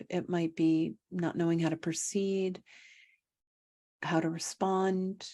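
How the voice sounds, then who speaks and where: Calm woman doing guided meditation coaching, dark relaxed tone, really flat melody, lots of pauses and repeats. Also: fairly low pitch. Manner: calm, unhurried, repetitive phrasing with pauses. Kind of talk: guided meditation, spoken instruction.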